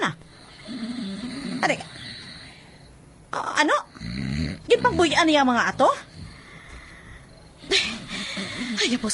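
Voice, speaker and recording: A woman's voice: sits high, fairly bright tone. Fretful scolding woman in a filipino radio drama, voice jumps all over, lots of noise behind her. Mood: surprised, relieved. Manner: exclamatory, grumbling. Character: fussing, worried woman scolding at home.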